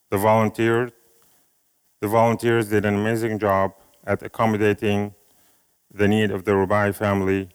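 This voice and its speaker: A man from Syria whose first language is Arabic, giving a slow, measured reading of a speech and a poem at an event. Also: fairly low pitch, clear, resonant tone. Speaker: speaker at an event.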